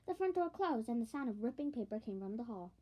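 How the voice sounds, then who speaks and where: High-voiced woman reading a story aloud. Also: fast, husky. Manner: expressive, occasionally stumbles and repeats phrases. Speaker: audiobook reader. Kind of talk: scripted storybook narration, read aloud.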